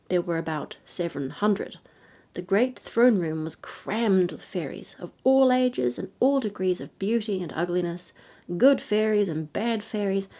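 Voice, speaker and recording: A woman gently narrating a fairy tale as a bedtime story reader. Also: medium pitch.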